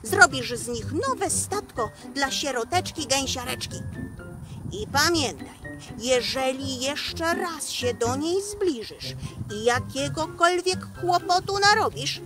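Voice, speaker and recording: Woman doing a tiny bossy devil from a kids fairy tale, highish voice, scolding, music behind. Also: big pitch swings, slow pace, heavily acted. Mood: stern warning. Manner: scolding, commanding, emphatic. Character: tiny stern male devil, punishing wrongdoers. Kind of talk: children's fairy-tale storytelling.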